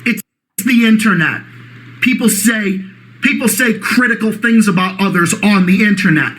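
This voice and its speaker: A man with a Boston accent delivering a profane, mocking reaction rant, often shouting. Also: high voice, moderate pace. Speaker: YouTube ranter.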